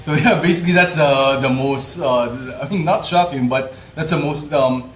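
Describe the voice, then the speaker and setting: A man's voice: medium pitch, moderate pace. A young man on a student panel, hesitant and full of fillers. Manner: hesitant, many "I mean" fillers. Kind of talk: casual panel discussion. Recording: echoey room.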